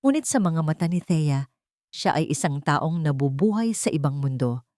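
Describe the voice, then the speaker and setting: A woman narrating a scripted audio drama in a solemn, deliberate, dramatic storytelling style. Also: deep voice, lively intonation, mellow, no echo, studio quality.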